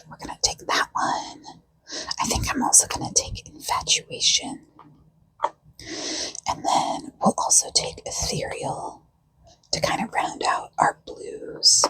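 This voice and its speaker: A woman who has lost her voice to a cold, talking casually in a breathy, husky whisper as she thinks aloud while hosting a hobby vlog. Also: bright, forceful tone, rough recording. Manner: informal, unhurried, musing. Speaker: host of a makeup video.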